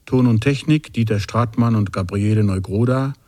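A man's voice: on the low side, normal speed, darkish tone, no echo. A man working as announcer, formally reading out the credits of a radio drama.

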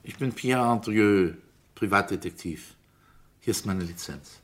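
Low-voiced, probing private detective. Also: male voice, a little slow. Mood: probing, businesslike. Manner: terse, questioning, controlled. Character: probing male private detective, cool, persistent, dryly sceptical. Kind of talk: German radio crime drama acting.

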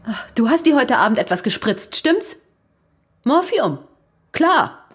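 An actress playing a weary, reproachful but self-controlled wife in a radio drama. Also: female voice, high-pitched, very animated, takes it slowly, husky, heavily acted. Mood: accusing.

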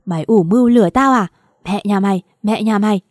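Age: young adult. Figure: betrayed wife in a spoken audio story, shouting with rage, acted by a woman narrator. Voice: warm and mellow. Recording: dry. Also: mid-range voice, animated, fairly quick, no echo, lightly acted. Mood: furious. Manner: shouting, raging accusations.

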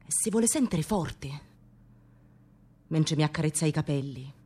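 A woman playing a lonely, brooding lover left waiting, in a theatrical radio monologue of short, deliberate phrases. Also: low voice, animated, warm tone, slightly rough. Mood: hushed, wounded.

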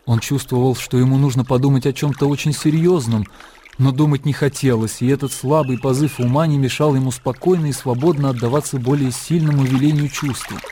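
A man narrating a classic story in a radio play, with a measured, lyrical, literary delivery. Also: mid-range voice, steady tone, warm tone, slight background noise, natural voice. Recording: music under the narration. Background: music.

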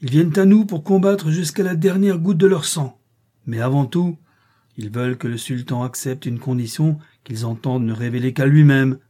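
A man's voice: medium pitch, moderate pace, dark tone, voice pushed up, lightly acted. A man voicing a commanding chieftain giving an imperious speech to a crowd, in a storybook reading. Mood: rousing. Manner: imperious oratory.

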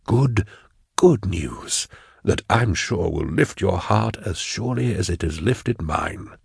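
A man voicing a smooth, falsely kindly prefect in an audiobook, soft and whispery, with measured, condescending phrasing. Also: deep voice, expressive, dry recording, clean studio sound, exaggerated voice. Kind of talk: audiobook character acting.

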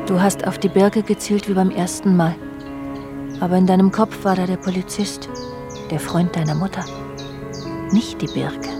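Woman as low-voiced reflective narrator over music. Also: fairly even intonation, normal speed, long pauses, close to an everyday voice. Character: reflective female narrator recalling her youth. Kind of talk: scripted first-person radio drama narration.

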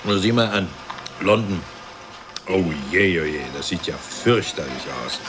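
An actor playing a self-satisfied, comfortable middle-class husband chatting in front of the television in a radio drama. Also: male voice, sits low, lively intonation, unhurried, noisy background. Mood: smug, amused. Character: smug, comfortable bourgeois husband watching television. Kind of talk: conversational radio drama acting.

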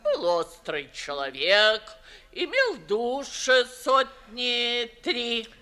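A woman's voice: fairly high pitch, very animated, very slow, bright, forceful tone, heavily acted. An actress playing a blunt, stubborn old Moscow noblewoman in a 1950s radio verse comedy, with emphatic, declamatory delivery. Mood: pitying, gossipy.

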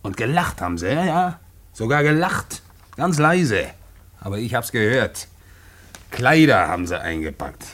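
A man's voice: mid-range voice, sing-song, unhurried, a bit bright. A man playing a gossipy, snooping husband in a German radio play, colloquial and insinuating. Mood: gloating, conspiratorial. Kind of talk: 1950s-set German radio play acting.

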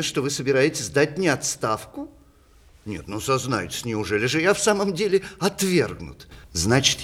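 An actor playing a witty, vain aging playwright in a 1960s Russian radio play, ironic and deliberate, with mock-tragic pauses. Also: male voice, medium pitch, slightly rough, exaggerated voice. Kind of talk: 1960s Russian stage radio play, letters performed aloud.

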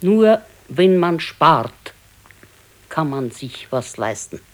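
An actress playing a resigned, wistful elderly wife with a Bavarian accent in a naturalistic radio play, speaking plainly and haltingly. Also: female voice, low-pitched, big pitch swings, heavily acted. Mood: matter-of-fact, regretful. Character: wistful, resigned old pensioner's wife, thrifty.

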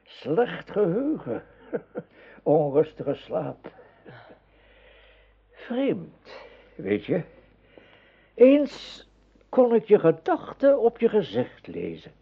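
Weary wise old wizard in a radio play, slow and husky, solemn mentor type. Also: male voice, fairly high pitch, wildly swooping pitch, exaggerated voice. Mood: weary, wistful. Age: older. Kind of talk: radio drama acting. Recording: thin, phone-like old radio play recording.

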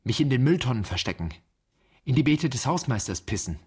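An actor playing the restless, doubting inner voice of a son in a poetic radio monologue, vivid and searching. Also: male voice, mid-range voice, big pitch swings, brisk, darkish tone, slightly rough. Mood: urgent remembering.

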